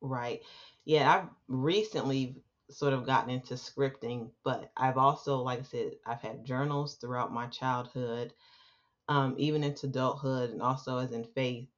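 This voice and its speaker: A woman's voice: a bass voice, steady tone, normal speed. A woman hosting a podcast, chatting with a friendly, upbeat manner as she welcomes and interviews a guest. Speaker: podcast host. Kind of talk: casual interview chat. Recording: dull, lo-fi podcast audio.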